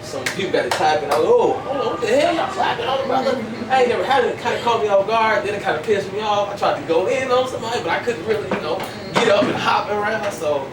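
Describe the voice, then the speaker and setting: A man's voice: high voice, expressive, quick pace, few pauses, over a noisy crowd. A 22-year-old American man from Chicago, a stand-up comedian chatting casually and jokingly in slangy language as an interview guest. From Chicago, United States. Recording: echoey, distant, very noisy public space.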